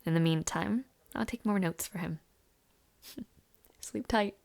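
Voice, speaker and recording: A young woman acting in an intimate audio roleplay as a warm, shy, playful university student, with a hesitant, giggly, softly teasing way of talking. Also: fairly low pitch, slow pace, creaky. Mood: fond, teasing.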